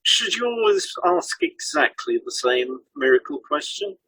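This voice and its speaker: Man, podcast co-host, instructional scripted delivery, a little husky, average pitch, dry recording. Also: expressive, a little slow, sharp tone, no echo, rough recording.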